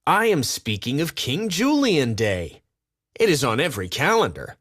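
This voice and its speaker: A man acts out a self-important cartoon lemur king for a storybook. The voice is pitched high and swoops about, pompous, showy and excitable. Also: moderate pace, exaggerated voice. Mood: demanding. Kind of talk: children's storybook character voice.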